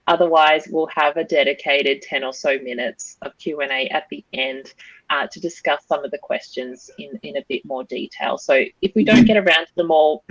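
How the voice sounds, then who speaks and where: An Australian woman hosts an online webinar and welcomes attendees with frequent ums. Her voice sits low. The video call audio is dull and dry. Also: no room sound. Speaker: webinar host and general manager. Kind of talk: formal webinar introduction.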